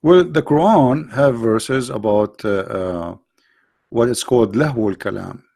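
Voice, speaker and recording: A man's voice: medium pitch, unhurried, gravelly, darkish tone. A middle-aged man hosting a live stream, lecturing emphatically on religion in non-native English with frequent restarts and reading out viewer questions.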